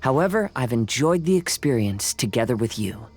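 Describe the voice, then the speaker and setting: A man voicing a calm, polite, thoughtful young wanderer in a video game, with composed and measured phrasing. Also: mid-range voice, lightly acted. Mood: courteous, gentle. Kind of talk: video game character acting.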